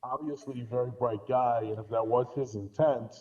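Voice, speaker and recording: A man's voice: medium pitch, steady tone, moderate pace, dull audio, background noise. A man in a casual podcast discussion, telling things in a rambling way with repeated false starts. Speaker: podcast guest co-commentator.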